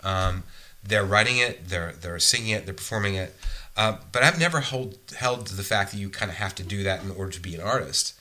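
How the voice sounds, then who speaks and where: A man speaks in a very bright, forceful tone. He chats casually and thoughtfully about working with bands in the studio. He often stops to restart his sentences. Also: low voice, fairly quick. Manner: thoughtful, hesitant, many restarts and fillers. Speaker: music producer. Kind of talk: casual conversation.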